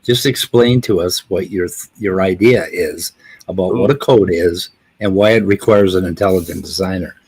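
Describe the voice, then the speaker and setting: Low husky man, dryly sardonic. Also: normal speed, a little mellow, rough recording. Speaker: host. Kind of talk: casual panel discussion.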